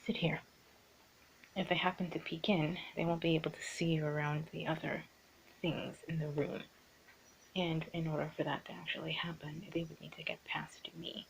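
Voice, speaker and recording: A woman's voice: low-pitched, flat delivery, normal speed, gravelly. Woman acting as teasing young art student, noisy recording. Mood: hushed, commanding. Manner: coy, teasing, half-whispered delivery. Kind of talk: improvised ASMR roleplay acting.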